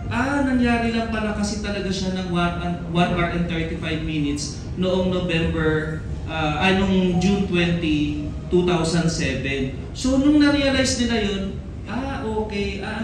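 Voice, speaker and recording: A Filipino man, a film director, giving talkative press conference answers, mixing in English and often tagging on questions. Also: high-pitched. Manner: talkative, code-switching into English, frequent tag questions. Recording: very echoey room, distant mic, loud noise.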